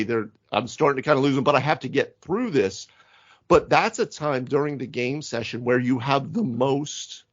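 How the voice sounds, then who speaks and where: Male podcast co-host, mid-pitched, emphatic explainer in casual discussion, slightly lo-fi sound. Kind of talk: casual panel discussion on tabletop role-playing. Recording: dull, band-limited audio.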